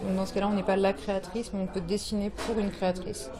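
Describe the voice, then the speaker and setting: A woman in her thirties from Brittany, a fashion designer guest, talking casually and haltingly with many fillers and restarts. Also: medium pitch, little pitch movement. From France, Brittany. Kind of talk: casual interview talk. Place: bar evening event with crowd. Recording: crowd chatter behind.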